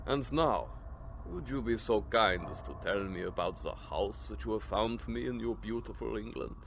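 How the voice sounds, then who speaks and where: A sinister vampire nobleman, speaks with ceremonious courtesy. His delivery is formal and deliberate, in radio drama style, over a faint bed of background noise. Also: lowish voice, lively intonation, creaky. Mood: polite, guarded.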